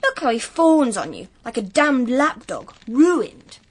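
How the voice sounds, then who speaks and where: A woman's voice: sits high, big pitch swings, unhurried, noisy background. An actress playing a blunt, callous teenage English gentry girl in a period drama, with a coarse, brusque manner. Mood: scornful. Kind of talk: period radio drama acting.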